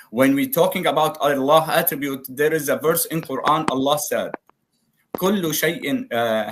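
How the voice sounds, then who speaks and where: A man whose first language is Arabic, speaking broken English on a video call, explaining word meanings slowly through examples in a live debate. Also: mid-range voice, a bit bright. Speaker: debate guest.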